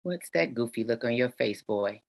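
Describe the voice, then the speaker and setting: An elderly grandmother with an extremely low voice, played by a woman in a table read. She is a courteous hostess with a sinister edge, bossy and slow in her commands. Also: lively intonation, creaky, full character voice. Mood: bossy, firm. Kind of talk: virtual table read acting.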